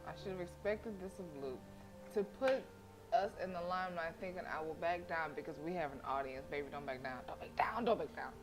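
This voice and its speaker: A woman game streamer reading a visual novel aloud and reacting casually, with sassy, expressive delivery. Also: lowish voice, brisk. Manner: expressive, sassy, talks back to characters. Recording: faint game music behind the voice. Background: game music.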